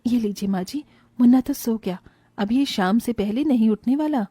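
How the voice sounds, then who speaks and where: A woman playing a kind, nurturing young mother and aunt in an animated family story, speaking gently and soothingly. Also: on the high side, normal speed, slightly rough, dry recording, studio quality. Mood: concerned, caring. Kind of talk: animated Hindi moral story dialogue.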